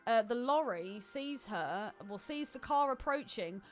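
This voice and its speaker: A woman's voice: on the high side, animated, a little slow. A British woman co-hosting a podcast, chatting casually and expressively about films in an informal, sweary way. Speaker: podcast co-host. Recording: music playing behind the voice. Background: music.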